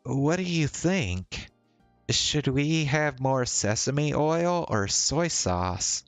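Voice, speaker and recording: A man's voice: medium pitch, lively intonation, drawn-out words, no room sound, pitched above the performer's own voice. A man voicing a chatty, playful young woman in a visual novel, with a teasing, breezy manner. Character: young woman, casual, chatty, playful story-game heroine. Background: faint music.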